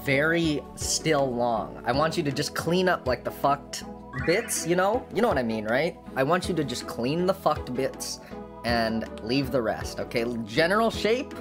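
A young man getting a haircut in a bedroom streaming setup, deadpan and dry, swearing often, on a boom mic. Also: measured pace, music in the background. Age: young adult. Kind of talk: casual banter on a stream.

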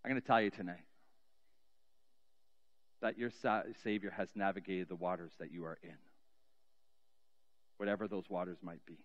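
A middle-aged man preaching as a pastor in a church sanctuary, telling a story earnestly and slowing down with soft, trailing endings. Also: low voice, fairly even intonation, lo-fi sound. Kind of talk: sermon storytelling and spoken prayer. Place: large church sanctuary, indoors. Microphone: cheek headset mic.

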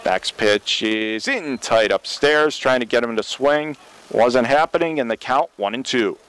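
Smooth-voiced man calling baseball. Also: medium pitch, measured pace, no echo, low-quality recording. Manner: steady, rising sharply on big plays. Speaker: radio play-by-play announcer. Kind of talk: baseball play-by-play commentary.

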